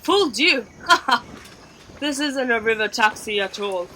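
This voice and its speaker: A woman's voice: fairly high pitch, expressive, a little slow, pushed and bright, a little hiss or hum. A woman voicing a boastful, bumbling male river pirate captain in a storybook, with a proud, blustering delivery. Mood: gloating.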